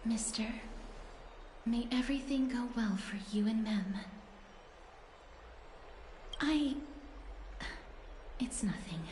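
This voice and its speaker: An actress playing a gentle, earnest, bookish young woman in a video game, soft-spoken and polite with breathy phrase endings. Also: on the high side, slow pace, a little hiss or hum. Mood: gentle, wistful. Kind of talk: video game character acting. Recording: echoey, faint background ambience.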